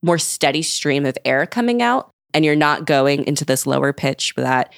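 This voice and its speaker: A young woman with a notably low voice talks through her research in a relaxed, explanatory way, filling her sentences with "like". The sound is close and dry, with no room around it. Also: moderate pace, gravelly, clear, resonant tone, no room sound. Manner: explanatory, uses "like" often. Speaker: guest researcher. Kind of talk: casual podcast chat.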